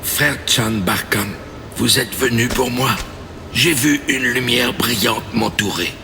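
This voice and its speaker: An actor playing a serene, devout monk with solemn, mystical phrasing in a dubbed video game cutscene. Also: male voice, mid-range voice, slow pace, creaky, music in the background. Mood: solemn, welcoming.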